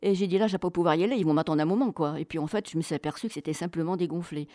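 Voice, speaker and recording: Expressive, lower-voiced woman telling travel stories. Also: very fast, dry recording, studio quality. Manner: chatty, colloquial, expressive, acts out dialogue. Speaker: interview guest, author. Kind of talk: animated travel storytelling.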